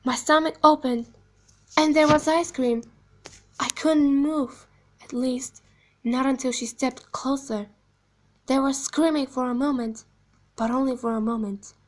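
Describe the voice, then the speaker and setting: An eerie animatronic clown girl from a video game, played by a woman, speaks in a high, girlish pitch. Her delivery is deliberate and hushed, sweet on the surface with a sinister undertone, like a secret being told slowly. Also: steady tone. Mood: wistful, eerie. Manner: slow, deliberate, hushed storytelling, dropping into whispers. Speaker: amateur voice actor. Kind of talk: video game character monologue.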